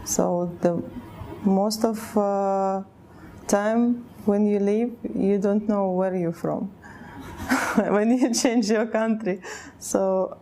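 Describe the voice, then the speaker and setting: Russian-accented woman, halting English. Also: mid-range voice, warm tone, a little hiss or hum. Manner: halting, searching for words, broken English. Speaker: interviewee. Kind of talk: personal testimony in an interview.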